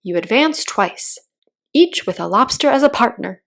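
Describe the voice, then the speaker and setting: A woman voicing a sentimental, dramatic male mock turtle from a storybook, with expressive, drawn-out delivery. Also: on the high side, gravelly, voice pushed up. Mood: excited.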